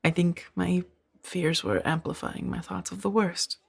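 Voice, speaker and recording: Husky, soft-spoken woman acting a gentle Jedi. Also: lowish voice, fairly even intonation, full character voice. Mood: surprised, relieved. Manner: hesitant, halting, breathy murmur with sighs. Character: gentle, earnest young female Jedi, tenderly conflicted. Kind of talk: soft-spoken ASMR roleplay audio drama.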